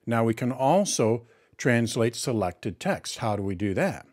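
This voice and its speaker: Man explaining step by step, lively pitch. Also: unhurried, no room sound, studio quality. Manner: step-by-step explaining, asks and answers own questions. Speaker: tech tutorial host. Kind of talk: screen-recorded tutorial walkthrough.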